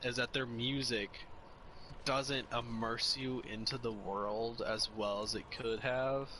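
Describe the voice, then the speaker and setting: A young man chats casually as a co-host, rambling through opinions and restarting his sentences as he goes. His voice sits in an ordinary middle pitch. Faint street and traffic noise runs behind him. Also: fairly even intonation, takes it slowly, bright, forceful tone. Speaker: podcast co-host. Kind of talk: casual chat.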